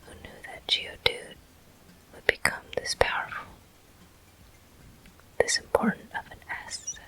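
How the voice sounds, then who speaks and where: An ASMR gameplay host speaks entirely in a breathy whisper. The delivery is very slow, gentle and deliberate. The sound is crisp and very bright, with hissing air in place of a full voice. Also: rough recording.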